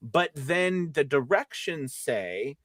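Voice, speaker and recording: A man co-hosting a casual livestream as a voice actor, talking in a rambling, halting way and often correcting himself. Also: on the high side, lively intonation, fairly bright tone, dry recording.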